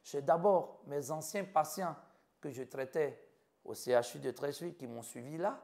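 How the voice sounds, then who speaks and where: A man's voice: a little high, moderate pace, gravelly, a little mellow. A man in his fifties from Côte d'Ivoire with an Ivorian French accent, giving an earnest personal account in an interview and speaking in short listed phrases. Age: 50s. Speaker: doctor interviewed about his life.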